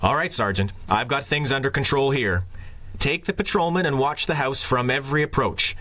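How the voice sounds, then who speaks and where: An actor playing a fair-minded, earnest police detective, plain-spoken and a little awkward, in a 1930s-style radio drama. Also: male voice, mid-range voice, normal speed, pushed and bright, no room sound, soft background music. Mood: commanding.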